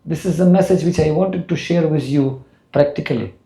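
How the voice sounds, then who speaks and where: A man's voice: a little high, brisk, gravelly, mellow. A man in his sixties from Russia, speaking English with a Russian accent, giving an earnest spiritual lecture to a temple audience. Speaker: spiritual teacher.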